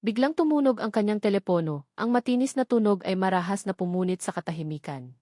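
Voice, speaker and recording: A woman narrator tells a scripted dramatic story with a Filipino accent. Her pitch is average for a woman. The recording is dry and close, with no room sound. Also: animated, fast, mellow, dry recording.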